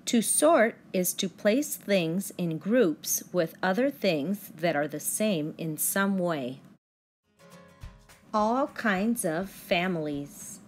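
A young woman reads aloud to children like a teacher. Her delivery is slow and carefully enunciated, with lively swings in pitch. Soft music plays behind her.

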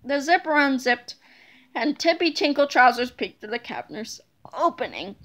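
Very high, bright woman reading aloud. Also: expressive, normal speed. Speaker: storybook reader. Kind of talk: children's book read-aloud.

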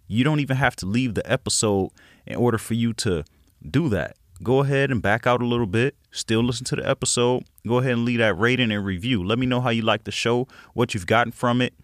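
A man hosts a personal development podcast with an encouraging, grateful manner. His voice sits fairly low and sounds dry and close. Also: dry recording. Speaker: podcast host. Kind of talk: motivational podcast interview.

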